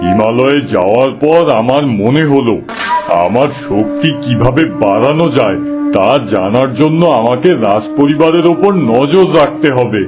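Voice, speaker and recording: Cartoon villain: male actor as cold demon-sorcerer father, mid-pitched, commanding, over clear music. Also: animated, moderate pace, close to an everyday voice. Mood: calculating. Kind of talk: dramatic cartoon story dialogue. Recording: music behind the voice.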